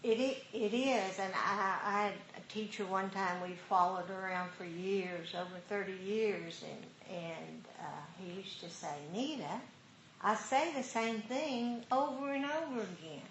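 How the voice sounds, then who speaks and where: Woman meditation teacher, unhurried and friendly, roomy hall recording. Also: mid-range voice. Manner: unhurried, laughs often. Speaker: dharma teacher. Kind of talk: casual group discussion. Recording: roomy hall with faint noise.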